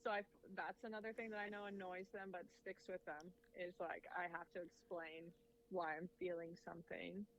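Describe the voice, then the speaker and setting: A woman in her thirties, a volleyball coach, talking in a casual interview in a measured, reflective way with easy laughs. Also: lowish voice, little pitch movement. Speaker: head volleyball coach, podcast guest. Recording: dull lo-fi audio with faint noise.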